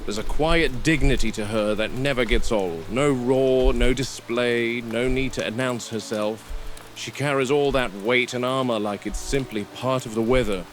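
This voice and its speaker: A man playing a watchful, weary dinosaur park game warden, narrating a found-footage log in a measured, deliberate way. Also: mid-range voice, soft background music, lightly acted. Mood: quietly admiring. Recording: noisy field recording with vehicle ambience.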